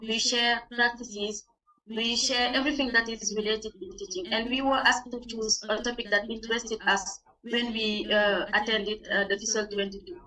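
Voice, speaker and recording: A woman from Senegal with a Senegalese accent, a non-native English speaker, gives a halting, informal presentation over an online meeting as a teacher on a panel. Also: on the high side, level tone, pushed and bright. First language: not English. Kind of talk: informal spoken presentation of own experience. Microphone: video call. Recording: distant online meeting microphone.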